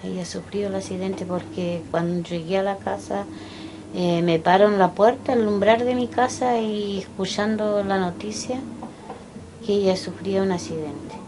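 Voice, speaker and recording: An older Chilean woman recalling her life slowly and haltingly, pausing often to remember. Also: medium pitch, fairly even intonation, background noise. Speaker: interviewee. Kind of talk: personal recollection. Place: indoors, small room by a window.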